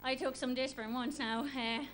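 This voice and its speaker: A woman's voice: high voice, fairly even intonation, normal speed, close to an everyday voice. A woman with an Irish accent doing a stand-up impression of a naive, chatty female athlete, rambling along with lots of verbal tags. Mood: chatty, confiding. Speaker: comedy show host, stand-up comedian. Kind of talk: stand-up monologue in character. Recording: live stage with crowd, faint noise. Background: live audience.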